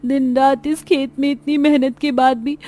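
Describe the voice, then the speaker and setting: A woman's voice: high-pitched, flat delivery, moderate pace, warm tone, pitched above the performer's own voice, lightly acted. A woman voicing a poor young village daughter in a cartoon, earnest and plaintive. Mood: dejected. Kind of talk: Hindi cartoon dialogue.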